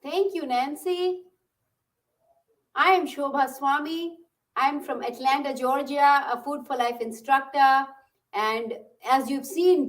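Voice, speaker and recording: A woman from Bangalore, a cooking instructor, gives friendly step-by-step instructions for a recipe in her home kitchen. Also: high voice. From Bangalore, India. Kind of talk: casual cooking demonstration. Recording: distant mic.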